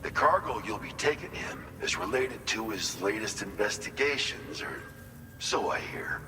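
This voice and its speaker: A man's voice: lowish voice, expressive, normal speed, close to an everyday voice. An actor playing a folksy, informal man handing out delivery orders in a video game. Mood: friendly, encouraging. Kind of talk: scripted game dialogue, conversational briefing. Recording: long reverb, clear background noise.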